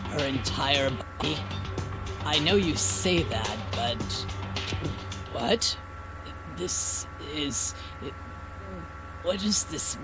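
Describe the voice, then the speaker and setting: A stoic young female fighter from a video game. Her voice is low and slow, formal and solemn, with loud music playing behind her. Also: lively intonation, heavily acted, poor audio. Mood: hesitant.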